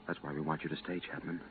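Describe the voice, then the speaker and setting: Sober space captain, 1950s radio drama. Deep, hesitant, noisy vintage recording. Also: male voice, narrow, tinny audio.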